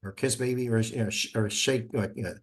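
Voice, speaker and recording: A man's voice: medium pitch, fairly even intonation, fast. A man chatting casually as a participant in an online meeting. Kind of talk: casual chat. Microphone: teleconference connection.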